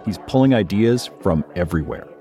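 A man hosting a podcast, narrating a scripted story in a measured, explanatory way. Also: deep voice, lively intonation, dark tone, soft background music. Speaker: podcast host.

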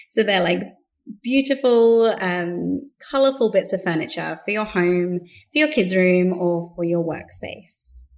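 A woman in her thirties from London with a mixed London and Australian accent, chatting as an interview guest with frequent fillers and restarts. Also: on the low side. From London, England. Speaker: podcast guest, business co-founder. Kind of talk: casual interview conversation.

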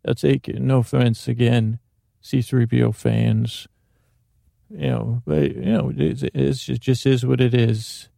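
A man's voice: mid-range voice, steady tone, unhurried, creaky, warm tone, no echo, studio quality. A man hosting a sleep podcast, rambling off on tangents in a meandering, self-deprecating way with lots of restarts. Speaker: podcast host.

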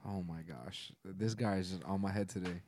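A man's voice: deep voice, fairly even intonation, moderate pace, darkish tone, a little hiss or hum. A man in his twenties from Brooklyn, a guest DJ chatting casually on a podcast in a laid-back, slangy way. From Brooklyn, New York. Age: 20s.

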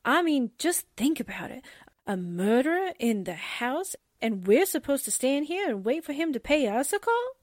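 A woman playing a sarcastic, slangy, excitable female sidekick in a radio drama. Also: sits high, normal speed, no echo, studio quality. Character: wisecracking, jumpy, sarcastic female newspaper sidekick.

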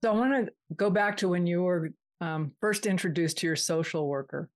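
A woman's voice: fairly low pitch, fairly quick. A woman interviewing in a casual, empathetic way, reflecting back what she hears. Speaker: interviewer, host.

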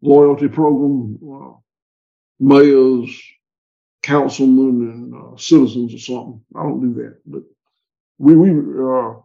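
A man's voice: mid-range voice, steady tone, measured pace, gravelly, warm tone, highs cut off. A man with a Southern US accent, a livestock farmer talking in an interview, rambling and folksy with frequent restarts. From American South. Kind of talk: conversational interview.

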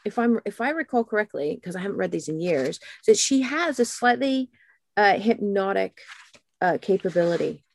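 An American woman in casual podcast chat who also reads a book aloud with animated storytelling. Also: mid-range voice, normal speed, gravelly.

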